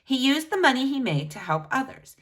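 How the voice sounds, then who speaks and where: A woman's voice: high-pitched, very animated, fairly quick, fairly bright tone. A woman reading a children's biography book aloud, speaking to children in a teacherly way with pointed emphasis. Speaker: read-aloud storyteller for children.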